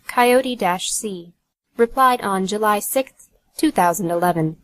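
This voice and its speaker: A woman's voice: medium pitch, a little slow. Neutral woman reading audiobook narration. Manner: neutral, announcing. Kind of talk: scripted narration.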